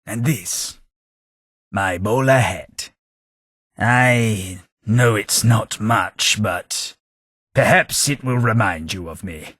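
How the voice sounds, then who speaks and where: Sad proper old british gent from a game, low husky voice, talks real slow and formal. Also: male voice, fairly bright tone, no room sound, clean studio sound, full character voice. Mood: wistful, resigned. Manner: formal, wordy, measured. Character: melancholy, formal, gentlemanly old former taxman companion. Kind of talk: video game character dialogue.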